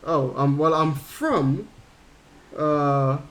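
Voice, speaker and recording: A man's voice: a little high, very slow. Rambling streamer man from Curaçao. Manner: rambling, answering chat with fillers. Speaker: gaming streamer. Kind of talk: casual stream chat while playing.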